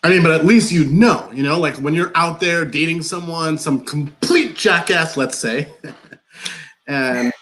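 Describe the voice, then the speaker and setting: A man in a live play reading, playing an awkward, nervous man who rambles through a confession in halting, over-explained dialogue. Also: on the high side, fairly bright tone. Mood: earnest, flustered.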